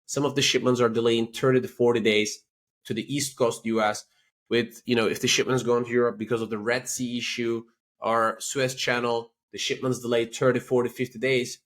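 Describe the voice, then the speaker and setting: A man from Turkey with a Turkish accent, a company chief talking as a guest in a business interview, explaining things in an animated way with many "you know" and "like" fillers. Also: mid-range voice, a little fast. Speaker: company CEO, podcast guest.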